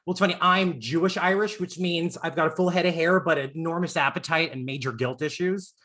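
A man's voice: on the high side, brisk, bright, forceful tone. A man around forty from the American South, hosting a casual celebrity interview in an effusive, campy, joking way. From born in Long Island, raised in Florida. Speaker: interviewer host.

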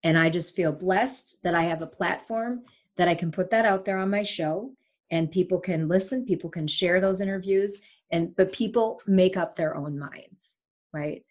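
A woman's voice: on the low side, normal speed. A woman from Sri Lanka in her forties, a longtime broadcaster, talking candidly and emphatically as a guest in a remote interview. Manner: emphatic, candid, animated storyteller. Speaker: broadcaster and podcast host, here the guest. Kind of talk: casual interview chat. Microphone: remote call.

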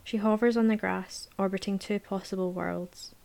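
A woman reads a novel aloud at a middle pitch. Her narration is measured and calm, dropping to a near whisper at times. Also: gravelly. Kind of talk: audiobook narration, present tense.

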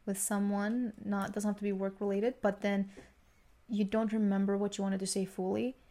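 A woman's voice: mid-range voice, little pitch movement, normal speed, mellow. A young woman with a slight Greek accent chats casually as a podcast co-host, explaining things in a rambling, reflective way. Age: young adult. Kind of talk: casual conversation, sharing work experiences.

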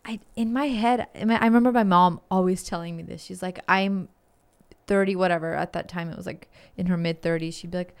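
A woman in her thirties chats easily and reacts quickly to her co-host. Her voice is smooth and clear. She sounds like a relaxed podcast co-host. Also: lowish voice. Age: 30s. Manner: chatty, quick affirming responses. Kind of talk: casual chat.

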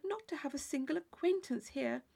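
A woman voicing a polite, uneasy young gentlewoman in an audiobook, speaking in a hushed, earnest, genteel way. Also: high voice, lively intonation, moderate pace, creaky, voice pushed up, heavily acted.

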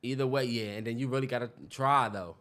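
A man's voice: medium pitch, steady tone, fast, a bit bright. An American man co-hosting a podcast, talking casually in informal slang. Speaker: podcast co-host. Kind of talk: casual chat.

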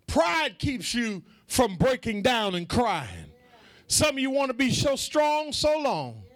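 A man preaching a fervent sermon to a congregation, emphatic and repetitive, calling for response and rising into shouted, half-sung phrases. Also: very high voice, very animated, slow pace, no room sound.

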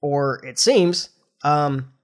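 A man's voice: on the high side, extremely slow. A middle-aged man with a nasal, congested voice from a recent flu, chatting casually as a podcast host. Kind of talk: casual chat, explaining news informally.

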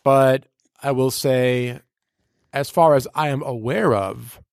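A man's voice: mid-range voice, slow pace, vocal fry, no room sound, clean studio sound. A man who works as a radio personality, chatting casually as an interview guest in a slangy, playful, showman-like and rhetorical way.

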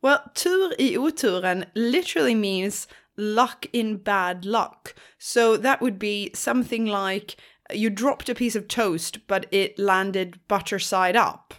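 A woman from southern Sweden whose English carries a Swedish accent. She co-hosts a history podcast, chatting casually and explaining in a friendly way. Her pitch sits in the middle for a woman. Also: slow pace, a bit bright. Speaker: podcast co-host. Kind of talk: casual chat.

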